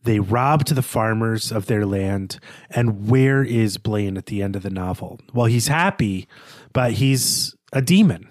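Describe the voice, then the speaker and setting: A man co-hosting a podcast, discussing literature in a halting, emphatic way with repeated words. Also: lowish voice, expressive, dry recording, clean studio sound. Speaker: podcast host.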